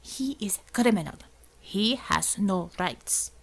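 A woman's voice: medium pitch, expressive, slow pace. A woman voicing a domineering female commissar with a Russian accent and a clipped, contemptuous manner. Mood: icy command.

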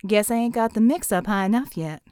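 A woman voicing a quick-witted barmaid with a drawl, dry and flirty. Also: mid-range voice, animated, mellow, clear, resonant tone, no room sound, natural voice. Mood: dry amusement.

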